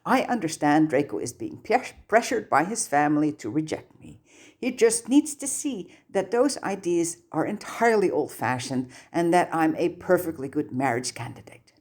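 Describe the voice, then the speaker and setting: A woman's voice: low voice, animated, normal speed, darkish tone. A woman voicing a proud, bookish teenage girl with a superior, insistent manner in a story reading.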